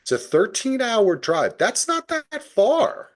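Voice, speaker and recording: A middle-aged American man from New Jersey chatting casually as a podcast co-host, telling things in an animated, profane way. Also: high-pitched, slow pace. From New Jersey, United States. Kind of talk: casual TV recap chat.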